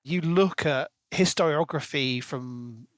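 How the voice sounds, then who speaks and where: Male podcast co-host, slightly high voice, dry close sound, explains his reasoning in casual book discussion. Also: takes it slowly, no echo. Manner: analytical, explanatory.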